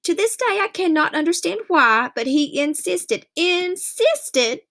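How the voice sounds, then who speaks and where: A woman's voice: extremely high pitch, very animated, a little slow, fairly bright tone, voice pushed up, exaggerated voice. A woman voicing a fussy, dramatic mother in a storybook reading, speaking emphatically and theatrically. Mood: indignant.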